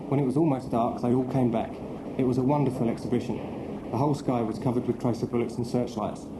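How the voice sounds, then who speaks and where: Actor as young English naval officer, roomy sound. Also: male voice, mid-range voice, noisy background, close to an everyday voice. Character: cheerful young British naval sub-lieutenant writing home. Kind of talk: dramatised letter reading.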